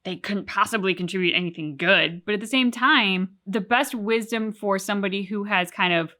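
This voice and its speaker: A woman's voice: medium pitch, moderate pace, sharp tone. A woman co-hosting a game reaction show, chatting casually and thoughtfully about the story, with frequent "like" fillers. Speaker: co-host of a game reaction channel.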